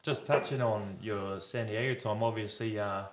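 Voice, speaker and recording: A New man co-hosting a relaxed podcast. His fairly low voice carries a roomy echo. He asks questions in an easygoing way and ribs the guest good-naturedly. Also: moderate pace. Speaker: podcast co-host. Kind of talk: casual chat.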